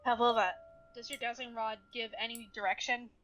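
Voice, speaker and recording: Highish-voiced woman in a tabletop game. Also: little pitch movement, fairly quick, fairly bright tone, muffled recording. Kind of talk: casual in-character role-play. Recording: music under the voice.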